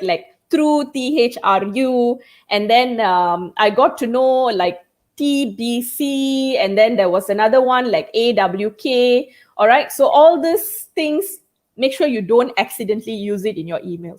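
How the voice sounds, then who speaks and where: Tamil-first-language woman trainer, bit high voice, keeps saying all right? okay?. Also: darkish tone. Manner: instructive, peppers speech with "all right?" and "okay?". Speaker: corporate communication trainer. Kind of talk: live online talk show chat.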